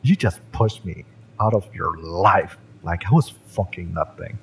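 A man's voice: sits low, unhurried, fairly bright tone, slight background noise. A man playing a fiery, bitter young mercenary in an audio roleplay, sardonic and taunting, with sighs and swearing. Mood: hurt, angry. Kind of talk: romance audio roleplay acting, addressed to the listener.

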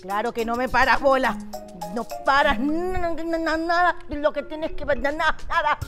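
A woman doing a shrill, high-pitched older wife from a TV comedy sketch, bossy and scolding, her voseo-laced sharpening every complaint. She talks fast and pushy over faint music in the background. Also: lightly acted. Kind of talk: sitcom sketch acting. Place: TV studio set, indoors. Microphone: lapel mic.

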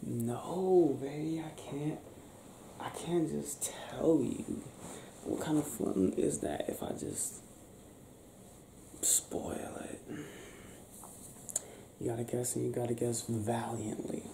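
A young man doing an improvised ASMR boyfriend roleplay, talking softly and affectionately in a breathy, teasing, near-whispered way. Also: mid-range voice, slow, long pauses. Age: young adult. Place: small bedroom, lying on a bed indoors. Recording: roomy sound with faint background noise.

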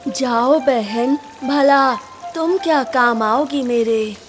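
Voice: sits high, slow pace. An actor voicing a condescending male pigeon in a children's cartoon, brotherly but dismissive.

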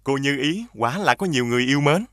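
Man voicing a bright, dignified mandarin official. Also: mid-range voice, animated, quick pace, no room sound, clean studio sound, close to an everyday voice. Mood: approving. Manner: hearty, formal. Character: genial, dignified male government official. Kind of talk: animated fairy-tale dubbing.

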